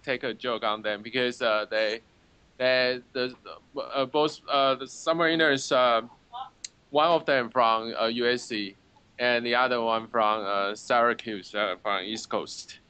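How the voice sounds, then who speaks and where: Bright, crisp man. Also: mid-range voice, steady tone, no room sound. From Taiwan. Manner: halting, searching for words, self-mocking humor. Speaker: startup founder. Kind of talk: casual interview answers.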